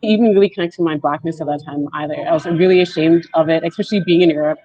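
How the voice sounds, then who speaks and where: A woman in her twenties, speaking softly and haltingly as she tells her story on a video call panel. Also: low voice, steady tone. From Canada. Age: 20s. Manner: soft-spoken, halting and reflective. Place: indoors at home, on a video call. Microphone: wired earbuds with mic. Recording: dull lo-fi video call audio.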